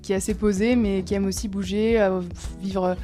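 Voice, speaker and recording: A woman co-founder of a sneaker brand chatting casually in an interview, easygoing with many fillers, often trailing off softly. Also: medium pitch, fairly even intonation, fairly quick, soft background music.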